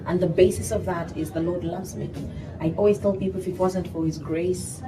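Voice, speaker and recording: Lively Ugandan woman, a bit low. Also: fairly quick, a crowd in the background. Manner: animated, sprinkled with "you know". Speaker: gospel singer and guest. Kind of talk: interview conversation. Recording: distant mic, noisy background.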